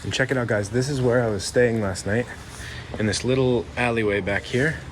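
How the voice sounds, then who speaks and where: A man's voice: medium pitch, normal speed, a little hiss or hum. Easygoing male travel vlogger outdoors. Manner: chatty, easygoing, many fillers. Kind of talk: casual talk to camera while walking. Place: outdoors in old town streets.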